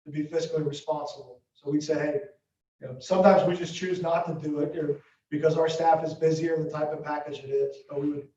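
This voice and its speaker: A man from Ohio representing a construction firm, calmly answering questions at a school board meeting. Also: fairly high pitch, brisk. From Ohio, USA. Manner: steady, businesslike explaining. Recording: muffled distant audio in an echoey room.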